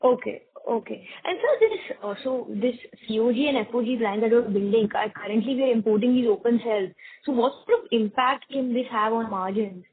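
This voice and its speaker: A woman with an Indian accent asks analyst questions at a quick clip over a tinny phone line. Her voice is husky and suits a business conference call. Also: fairly high pitch.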